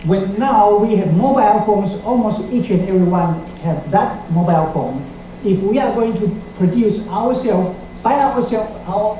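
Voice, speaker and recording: A middle-aged man from Taiwan, speaking English, gives a seminar lecture in a measured way, with small grammar slips. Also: high voice, warm tone, slight background noise. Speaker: guest lecturer. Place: lecture hall. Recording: echoey hall, mic heard from a distance.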